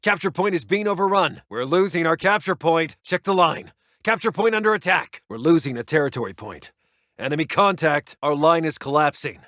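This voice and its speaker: A man playing a brisk, dutiful military intelligence officer in a video game, giving clipped, formal reports to a commander. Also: fairly high pitch, animated, pushed and bright, dry recording, studio quality. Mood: urgent, alert. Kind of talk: video game voice lines, battlefield radio reports.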